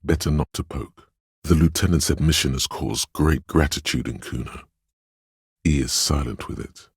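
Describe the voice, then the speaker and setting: A man voicing a game's empathetic inner voice, a perceptive and tender narrator with a husky, very deep voice and measured, literary delivery. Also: no echo, studio quality, full character voice. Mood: grave, observant. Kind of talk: scripted game narration.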